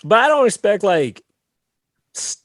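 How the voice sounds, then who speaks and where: A man's voice: high-pitched, very animated, a little slow, a bit bright, dry recording, studio quality. A man co-hosting a comedy podcast, bantering playfully with teasing quips. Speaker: podcast co-host.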